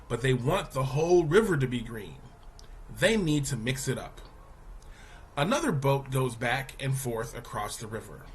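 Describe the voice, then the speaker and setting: A man reading a children's news article aloud as a teacher, in a deliberate, explanatory manner. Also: medium pitch, a bit bright, a little hiss or hum. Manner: deliberate, explanatory, poses questions to young listeners.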